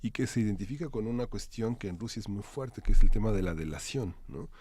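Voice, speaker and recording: A man with a fairly low voice comments as co-host of a live talk show. He builds his thoughts in a halting, roundabout way, checking in with short tag questions. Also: animated, warm tone, breathy edge. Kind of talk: live discussion, cultural commentary.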